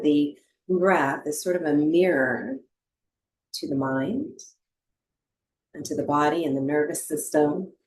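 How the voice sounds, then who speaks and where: Woman, meditation teacher, low pitch, very slow pace, gentle and soothing, spacious pauses. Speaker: yoga nidra and breathwork teacher. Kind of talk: guided meditation instruction. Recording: roomy, echoing voice recording.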